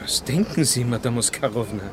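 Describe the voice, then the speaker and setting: Actor as a courteous gentleman, medium-pitched voice. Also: expressive, brisk, close to an everyday voice. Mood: doubtful, curious. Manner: polite, formal. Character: courteous, curious, slightly reserved gentleman traveller. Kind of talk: German radio drama acting. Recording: clear background ambience noise.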